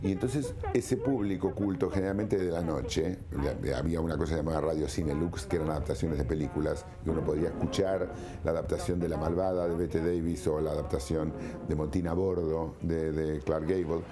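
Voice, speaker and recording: An older man from Argentina with an Argentine accent, reminiscing and explaining in a halting, anecdotal interview style. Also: rumbling low, steady tone, crowd noise.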